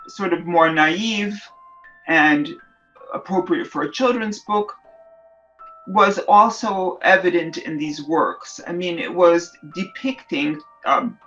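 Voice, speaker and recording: A middle-aged woman artist answering interview questions thoughtfully, pausing often and searching for words. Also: lowish voice, a bit bright, muffled recording, soft background music. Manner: thoughtful, searching for words with many fillers and restarts. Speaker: artist and illustrator guest.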